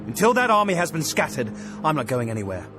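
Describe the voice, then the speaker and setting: A stubborn, dutiful fantasy commander, in a slightly high male voice. He talks plainly and firmly, in video game drama style, over prominent music. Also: very animated, quick pace. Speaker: army commander. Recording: music behind the voice.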